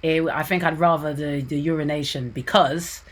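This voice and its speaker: A woman's voice: low-pitched, moderate pace, breathy edge. A woman with a British accent, a guest on a casual chat show who laughs often and tells stories with energy. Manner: laughs often, animated storytelling.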